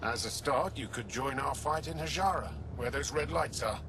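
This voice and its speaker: An actor playing a matter-of-fact alien lookout soldier in a video game, with a blunt, dry manner. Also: male voice, mid-range voice, normal speed, natural voice. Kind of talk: dramatic game dialogue. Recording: clear noisy outdoor ambience behind.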